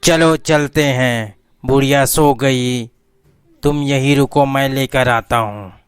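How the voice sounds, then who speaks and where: A man's voice: mid-range voice, fairly even intonation, takes it slowly, smooth, no echo, close to an everyday voice. A man voicing a scheming talking dog in a cartoon story, speaking in a plain, bossy way.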